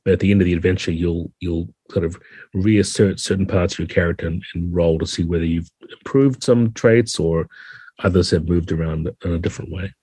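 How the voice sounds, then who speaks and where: Deep, mellow man explaining things. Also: steady tone, fairly quick, husky, no room sound. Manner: thoughtful, methodical explaining. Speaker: podcast guest, game designer. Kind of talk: explanatory interview talk.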